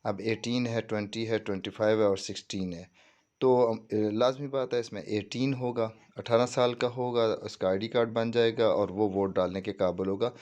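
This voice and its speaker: A man's voice: fairly low pitch, steady tone, moderate pace, darkish tone. A man with a Pakistani accent giving an informal step-by-step tutorial, mixing in English terms and often checking that listeners follow. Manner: repeats reassuring check phrases, mixes in English terms. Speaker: tutorial presenter. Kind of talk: informal screen-walkthrough tutorial, explaining step by step.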